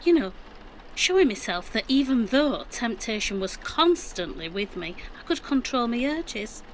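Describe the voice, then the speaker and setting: A woman's voice: fairly high pitch, very animated, a little slow, slight background noise, rough recording. A middle-aged woman playing a defensive, practical mum in an audio drama, with a Yorkshire accent and matter-of-fact delivery. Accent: Yorkshire English. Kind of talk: naturalistic audio drama acting.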